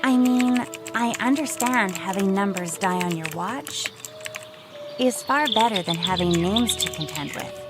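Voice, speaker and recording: Weary female bureaucrat woman acting, mid-range, very measured, halting, loud music beneath. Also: mid-range voice, close to an everyday voice. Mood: weary, deliberate. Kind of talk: comedic audio drama monologue.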